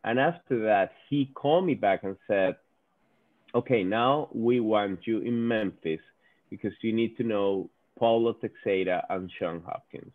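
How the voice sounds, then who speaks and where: Venezuelan guy, spanish accent, lowish voice, repeats himself finding the english words, tinny zoom audio. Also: measured pace, no echo. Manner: earnest, repeats words while searching in English. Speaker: guest speaker, company executive. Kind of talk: informal talk on a video call. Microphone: video call. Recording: dry, phone-like video call audio.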